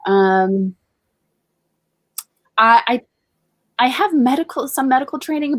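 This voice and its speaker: A woman's voice: medium pitch, dry recording. A woman from Bosnia talking casually and frankly as an interview guest, at ease with swearing. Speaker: interview guest, professional dominatrix and educator. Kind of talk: casual, candid interview chat.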